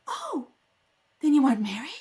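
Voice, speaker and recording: A woman's voice: very high-pitched, darkish tone, voice pushed down, full character voice. A woman playing an impulsive, lovesick, melodramatic young society girl in radio-comedy style. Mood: gushing, tearful. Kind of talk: 1930s radio comedy acting.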